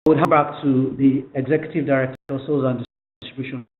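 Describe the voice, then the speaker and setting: A man formally presenting financial results on a conference call, reading figures aloud in a halting way. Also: mid-range voice, flat delivery. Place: conference call phone line. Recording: tinny, echoey telephone conference line.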